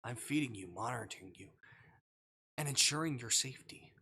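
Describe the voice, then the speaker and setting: Guy doing a composed creepy captor from a visual novel, condescending, crisp bright voice, bit of noise behind. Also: mid-range voice, expressive, moderate pace. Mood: cold, possessive. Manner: quiet, composed, clinical, condescending. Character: calm, controlling male kidnapper convinced he is a caring partner. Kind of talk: visual novel character reading.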